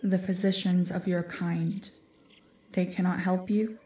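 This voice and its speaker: A woman's voice: on the low side, flat delivery, dark tone, natural voice. Woman as an echoing, stern forest guardian spirit. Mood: guarded. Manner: formal, commanding, measured. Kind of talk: dramatic fantasy audio roleplay. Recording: echoey, distant-sounding voice.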